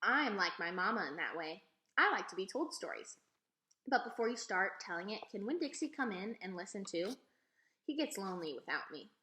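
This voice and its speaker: Woman playing young girl, earnest, polite, eager, storybook dialogue, lively intonation, roomy sound. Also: mid-range voice, normal speed. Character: earnest, polite young girl who loves her dog.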